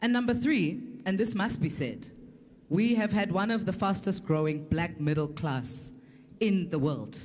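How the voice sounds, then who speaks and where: An articulate South African woman speaks as a panelist at a public forum. She builds a political argument emphatically, with deliberate phrasing and repetition to stress each point. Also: lowish voice, a little mellow.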